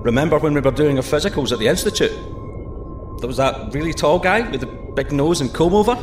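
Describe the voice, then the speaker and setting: An actor playing a curious, cheeky astronaut explorer, informal and wry, in a sci-fi audio drama. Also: male voice, on the high side, animated, brisk, close to an everyday voice. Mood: wry, joking. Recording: music behind the voice. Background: music.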